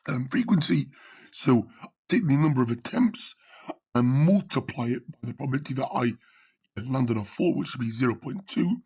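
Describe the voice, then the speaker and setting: Man, croaky voice, husky, exam revision walkthrough, muffled audio, keeps saying okay and yeah. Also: mid-range voice, darkish tone. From United Kingdom. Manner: repeats "okay" and "yeah" often. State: croaky, partly lost voice. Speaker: maths tutor.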